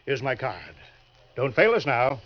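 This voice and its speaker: An actor playing a suave, genial older gentleman schemer, with smooth, courtly and pompous politeness, in 1940s radio drama style. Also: medium pitch, very animated, unhurried. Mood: genial, sly. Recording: muffled, noisy old-time radio recording.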